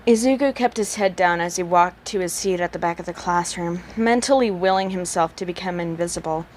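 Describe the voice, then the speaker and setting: Expressive woman narrating a story. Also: on the low side, a little fast, a little hiss or hum. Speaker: amateur audiobook narrator reading fan fiction.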